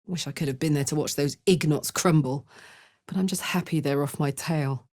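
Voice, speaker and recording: A woman voicing a brash, warm-hearted, battle-hardened fantasy warrior in a video game, with a blunt, earthy, emotive delivery. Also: sits low, moderate pace, no echo, clean studio sound. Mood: relieved. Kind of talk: video game character voice acting.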